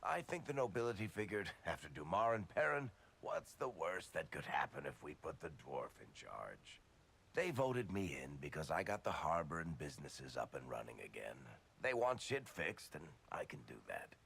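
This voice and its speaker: A man playing a wry, easygoing dwarf rogue turned city ruler in a video game, gravelly and casually joking. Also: very deep voice, sing-song, moderate pace, a bit bright, a little hiss or hum, heavily acted. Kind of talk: video game cutscene acting.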